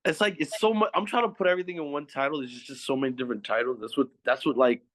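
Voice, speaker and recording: A man's voice: mid-range voice, racing. A man from Long Island, a podcast guest chatting in a laid-back, easygoing way. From Long Island, New York. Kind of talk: casual chat.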